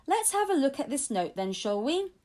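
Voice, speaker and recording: A woman voicing a gentle, amused male royal guard in a children's audiobook, friendly but politely authoritative. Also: sits high, big pitch swings, normal speed, voice pushed up.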